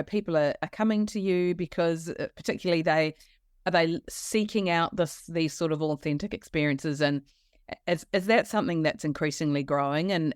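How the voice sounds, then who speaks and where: A woman hosting a travel podcast, her voice low for a woman. She asks rambling, friendly questions with many restarts. The recording is dry and close. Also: smooth, no echo. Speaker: podcast host.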